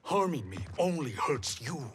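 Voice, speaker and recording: An actor playing a noble, hot-tempered warrior in a video game, with a forceful and earnest delivery. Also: male voice, a little high, very animated, unhurried. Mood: solemn defiance. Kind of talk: cinematic video game acting. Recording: dramatic game score behind.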